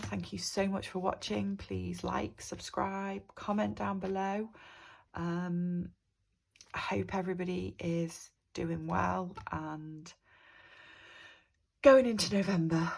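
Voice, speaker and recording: A British woman with a croaky voice from a cold, chatting casually about her budget in a rambling way. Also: lowish voice, fairly even intonation, slow. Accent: British English. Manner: chatty, rambling, with frequent ums. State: croaky from a cold, sore throat. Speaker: budgeting vlogger. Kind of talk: casual talk to camera.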